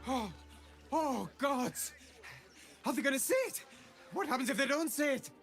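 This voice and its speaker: An anxious, excitable game character in a very high, swooping voice, fretful and flustered. Faint music sits behind it. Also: male voice, moderate pace, exaggerated voice. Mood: frantic, giddy. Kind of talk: video game character dialogue.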